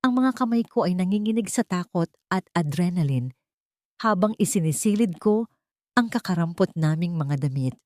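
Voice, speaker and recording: A woman's voice: lowish voice, expressive, moderate pace, a little mellow, no echo, clean studio sound. A woman narrating a dramatic first-person story in a tense, suspenseful way.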